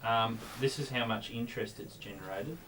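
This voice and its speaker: A man's voice: mid-range voice, moderate pace, a bit bright, slight background noise, poor audio. A man in a casual technical conversation, talking with fellow club members about equipment. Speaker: club member discussing radio gear.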